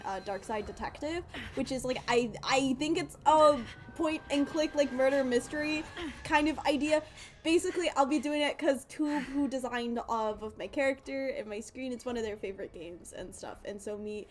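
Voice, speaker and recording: A woman game streamer chatting casually to her live audience in a playful, rambling way, repeating phrases. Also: on the high side. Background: game music.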